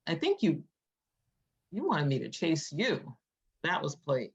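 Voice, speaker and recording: A woman's voice: low-pitched, huge pitch swings, slow pace, husky, dull audio, full character voice. A woman playing a witty, teasing poet visiting an old lover in a script read, with an American accent and slangy, playful delivery. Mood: teasing, reflective. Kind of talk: informal screenplay table read.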